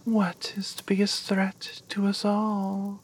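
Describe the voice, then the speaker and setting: A woman's voice: mid-range voice, monotone, slow pace, husky. A woman voicing an angry, proud queen in a whisper. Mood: furious.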